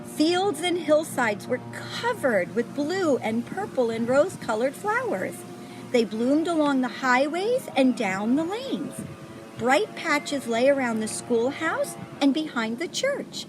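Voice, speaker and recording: Slow, lilting woman reading a picture book. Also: high-pitched, sing-song, music in the background, poor audio. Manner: pauses to point out pictures to young listeners. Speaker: storybook reader. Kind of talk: read-aloud of a children's picture book.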